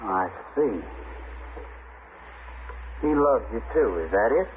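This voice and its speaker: An actor playing a proud, stubborn frontier rancher in an old radio drama, with an emphatic, folksy rural Western American accent. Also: male voice, medium pitch, animated, slow. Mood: puzzled, grave. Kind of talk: 1950s radio drama acting. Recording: tinny old radio recording, faint noise.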